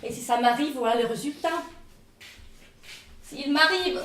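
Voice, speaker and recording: A woman's voice: high voice, a little slow. A woman from northern Italy with an Italian accent, performing a dramatic, comic first-person theatrical monologue. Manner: dramatic, expressive delivery. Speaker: stage actress performing a one-woman show. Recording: echoey room, distant microphone.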